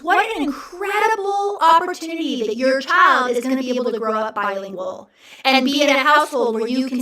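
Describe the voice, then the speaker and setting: Woman livestream host, high voice, chatty and encouraging, echoey room, set back from the mic. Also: fairly bright tone, recorded from a distance. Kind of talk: casual livestream chat.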